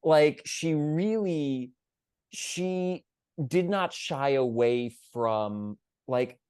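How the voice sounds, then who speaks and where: A man's voice: fairly high pitch, extremely slow, darkish tone, smooth, no room sound, clean studio sound. A man talking conversationally on a theater panel, earnest and emphatic, circling around his phrasing.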